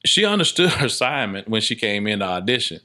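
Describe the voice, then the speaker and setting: Male podcast guest, slightly deep and very bright, punchy voice; casual, emphatic storyteller who doubles back on phrases. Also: sing-song, fast talker. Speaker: guest, indie filmmaker and casting director.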